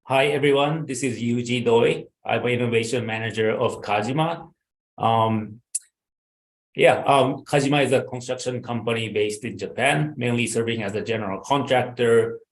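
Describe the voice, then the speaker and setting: A man, an innovation manager at a construction company, introducing himself as a judge in a halting way, repeating words and searching for phrasing. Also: mid-range voice, roomy sound, poor audio. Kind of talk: self-introduction at an online pitch event.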